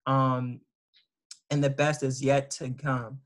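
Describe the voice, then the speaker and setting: A man's voice: mid-range voice, flat delivery, slow. A man hosting an interview, reading out his guest's introduction with frequent ums and restarts. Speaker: host.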